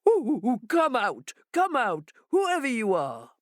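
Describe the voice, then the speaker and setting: A woman voicing an indignant male monkey who shouts and hammers on a door. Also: a little high, very animated, slow, dry recording, clean studio sound, pitched above the performer's own voice, full character voice.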